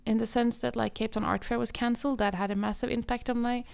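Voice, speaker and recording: A woman's voice: on the high side, little pitch movement, fast talker, vocal fry, darkish tone, clear, resonant tone. A young woman from Norway, a native Norwegian speaker, talking casually as an artist being interviewed. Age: young adult. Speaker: podcast guest, visual artist.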